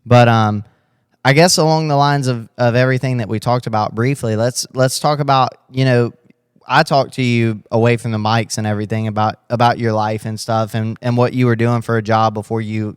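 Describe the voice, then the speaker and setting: Smooth-voiced man hosting a chat. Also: on the low side, fairly even intonation, a little fast, dry recording, clean studio sound. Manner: rambling, restarts questions often. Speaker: podcast host. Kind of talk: casual interview.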